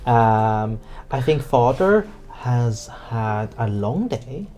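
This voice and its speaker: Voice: mid-range voice, very animated, extremely slow, warm tone. A game master voicing a polite Regency lady who coaxes a cranky old man in a condescending way. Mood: placating.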